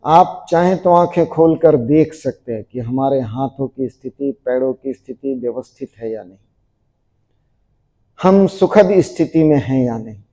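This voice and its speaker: A man guiding a group through yoga relaxation in a measured, repetitive, instructing manner. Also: mid-range voice, animated, dark tone, poor audio. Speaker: yoga nidra teacher.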